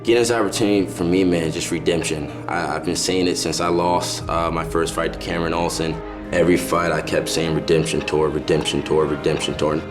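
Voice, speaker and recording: Deep-voiced confident fighter over music. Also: male voice, steady tone, a little fast. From South Carolina. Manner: confident and driven, repeats phrases. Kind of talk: interview soundbites in a promo package. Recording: music bed under the voice.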